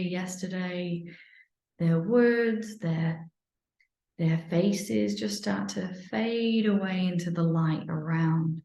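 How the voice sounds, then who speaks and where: Creaky, mellow woman guiding meditation. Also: on the low side, lo-fi sound. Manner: soothing, unhurried phrasing with breathy near-whispered moments. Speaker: guided meditation leader. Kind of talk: guided meditation, improvised live. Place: indoor room, live online video session. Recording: roomy, echoey sound.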